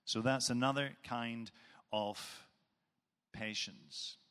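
Northern Irish preacher in a reverberant hall. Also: male voice, medium pitch, fairly even intonation, fairly bright tone, smooth. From Northern Ireland. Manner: measured, explanatory, with occasional fillers and restarts. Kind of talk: sermon.

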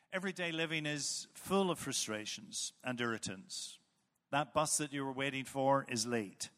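A middle-aged man with a Northern Irish accent delivers a sermon at a mid-range pitch. He explains things patiently, with the odd filler and restart. His voice carries a long reverb, as if it is filling a large church hall. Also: pushed and bright. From Northern Ireland. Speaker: preacher.

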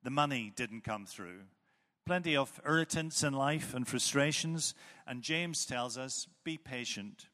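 A middle-aged man from Northern Ireland with a Northern Irish accent, preaching a sermon in a measured, explanatory way. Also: medium pitch, sharp tone. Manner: measured, explanatory, with occasional fillers and restarts. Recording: long hall reverb.

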